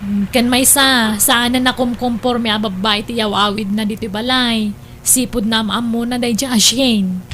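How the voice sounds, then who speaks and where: A woman acts as a caring but nosy older sister in an Ilocano radio drama. Her voice is high, with an Ilocano accent and a frank, questioning way of talking. A hum runs under the recording. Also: fairly even intonation, measured pace, a bit bright. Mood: frank, teasing. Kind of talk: Ilocano radio-style family drama acting. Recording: noticeable hum behind voice.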